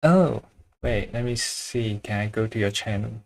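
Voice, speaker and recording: A man's voice: lowish voice, a little slow, creaky. A man with a Chinese accent, chatting casually with viewers while he draws on a livestream, often searching for words and chuckling. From China. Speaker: drawing livestreamer.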